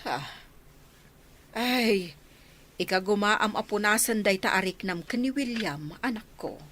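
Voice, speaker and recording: A woman playing a gentle, consoling mother in a radio drama. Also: medium pitch, slow pace.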